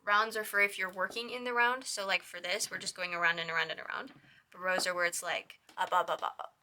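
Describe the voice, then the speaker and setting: A young woman with a hoarse, raspy voice hosts a casual crochet tutorial. She chats with a lot of "like" and counts her stitches in a soft whisper. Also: fairly low pitch, fairly even intonation, a little fast. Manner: chatty, with lots of "like".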